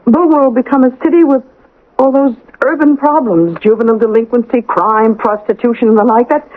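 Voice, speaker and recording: An actress playing an anxious, proper small-town wife, fretful and questioning, in a radio drama. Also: female voice, on the high side, steady tone, brisk, close to an everyday voice. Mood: hesitant, earnest. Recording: narrow, lo-fi old radio broadcast.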